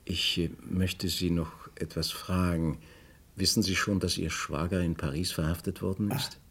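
An actor playing a shrewd, dryly polite police inspector who questions insistently in a German radio crime drama. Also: male voice, low voice, steady tone, moderate pace, fairly bright tone, slightly rough, exaggerated voice. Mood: businesslike. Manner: dry, ironic, insistent questioning.

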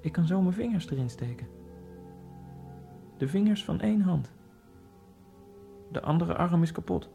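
A man narrating a radio drama in the first person, in a halting, fragmented inner monologue with a gravelly voice. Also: a little high, animated, mellow. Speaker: narrator and main character. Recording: radio drama with faint music.

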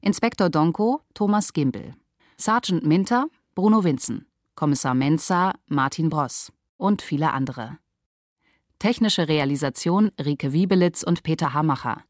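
A woman reading scripted radio drama announcements in a measured, formal manner. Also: low voice, no echo, studio quality.

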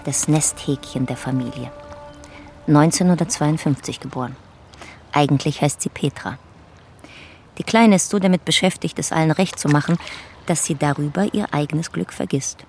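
An actress narrating a radio drama as a family chronicler, factual and composed with dry irony. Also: female voice, deep voice, normal speed, darkish tone, faint music behind. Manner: factual, composed, dryly ironic. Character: matter-of-fact, dryly ironic chronicler of a family.

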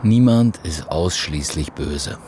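Deep-voiced, terse police detective. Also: male voice, expressive, takes it slowly, dark tone, a little hiss or hum, heavily acted. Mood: weary, reflective. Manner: terse, probing. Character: grave, persistent male police detective. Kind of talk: naturalistic radio drama acting.

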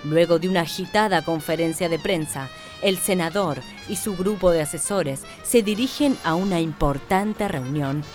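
A woman's voice: lowish voice, moderate pace, few pauses, a little mellow, faint music behind. A woman reading scripted narration for a radio play.

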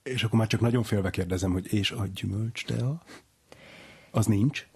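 A man's voice: fairly low pitch, creaky, darkish tone, husky. A man hosting a conversational interview, asking questions as a playful, self-deprecating layman. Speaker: interviewer, host.

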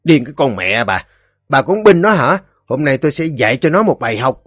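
A man voicing a belligerent, boastful village drunkard in a storybook, cursing roughly with a Southern Vietnamese accent. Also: mid-range voice, big pitch swings, a little fast, fairly bright tone, no room sound, studio quality, pitched above the performer's own voice. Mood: furious, threatening. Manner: blustering, cursing, rough rural speech.

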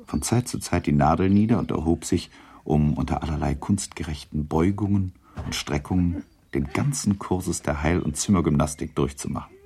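A man narrating a literary radio drama in a measured, deliberate storytelling manner, with a gravelly, husky voice. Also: low voice, warm tone, a little hiss or hum. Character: measured narrator of a classic novel.

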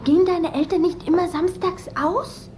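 A woman's voice: very high voice, fairly even intonation, moderate pace, warm tone, slight background noise. A woman playing an affectionate, proud young vampire girl in a children's radio play, with a playful girlish manner. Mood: cheerful.

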